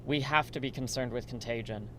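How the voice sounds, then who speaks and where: A man's voice: medium pitch, fairly quick, a little hiss or hum, natural voice. A man playing a worried, earnest research assistant in a radio drama. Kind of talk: amateur radio drama dialogue.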